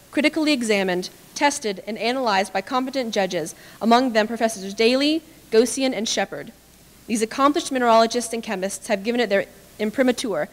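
A young woman giving a measured, formal reading of a historical text at a podium microphone. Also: a little high. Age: young adult. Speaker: reader at a staged dramatic reading. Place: large indoor hall with small audience. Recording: echoey hall, podium microphone.

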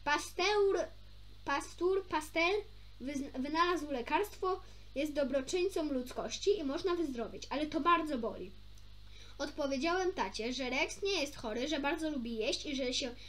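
A young woman with a high voice reads a children's story aloud. Her intonation moves a lot and her delivery is informal. She stumbles a little and slips into chatty asides. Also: expressive, a little slow, fairly bright tone. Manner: informal, stumbles over words and self-corrects. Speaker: amateur storybook reader.

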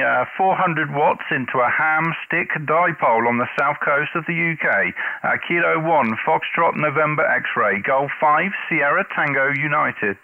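A man's voice: medium pitch, slight background noise. A middle-aged British man chatting casually and making calls as an amateur radio operator. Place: small home radio room, indoors. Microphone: headset. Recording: narrow, tinny, phone-like sound.

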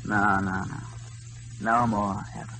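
A man's voice: fairly low pitch, flat delivery, a little slow, pushed and bright, slight background noise, rough recording. An actor playing a haunted, lovestruck, obsessive man in melodramatic 1940s radio drama style. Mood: tender, ardent. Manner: intense, melodramatic, repeating himself. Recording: 1940s radio recording with music.

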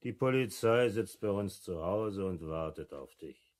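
A man's voice: sits low, animated, unhurried, a little mellow. An actor playing a stern, worried grocer father scolding in a 1970s radio play. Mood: stern, reproachful.

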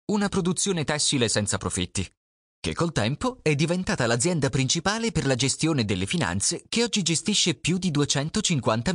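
A man reading a scripted narration that presents an audiobook, in a measured, steady way. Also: medium pitch, dry recording, studio quality.

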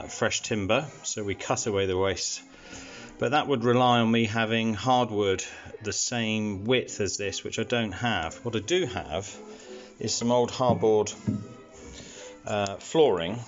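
A man's voice: on the low side, muffled recording. A man casually explaining a home renovation job step by step, with frequent fillers. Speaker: DIY renovation vlogger. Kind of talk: casual how-to narration. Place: house under renovation.

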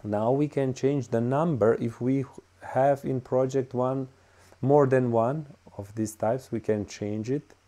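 A man with a Bosnian accent, whose native tongue is Bosnian, walks through a software tutorial in English, pausing often with "uh" and "all right". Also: medium pitch, fairly even intonation. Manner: halting, many "uh" and "all right" fillers. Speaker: tutorial host. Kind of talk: screen-recorded software tutorial.

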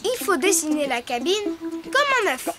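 A performer voicing a cheerful young rabbit boy in a preschool cartoon, lively and encouraging as they teach drawing to young viewers. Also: very high voice, normal speed, over loud music. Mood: instructive.

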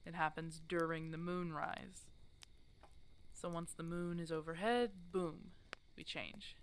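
A woman's voice: fairly low pitch, fairly even intonation, slow pace, a little hiss or hum. A woman playing a stubborn, protective older werewolf hermit in an ASMR roleplay drama, blunt and teasing in a casual way. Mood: matter-of-fact. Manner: teasing, blunt, casual one-sided dialogue.

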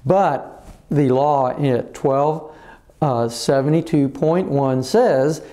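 An older man, an attorney, explaining the law to camera in a measured way with frequent pauses, recorded on a lapel mic in an office. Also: mid-range voice, warm tone. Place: office with bookshelves, indoors.

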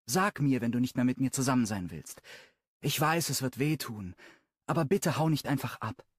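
A man's voice: mid-range voice, animated, normal speed, husky, dry recording, studio quality, voice pushed up. A man voicing a vulnerable, proud young woman who pleads emotionally in an audiobook. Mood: earnest.

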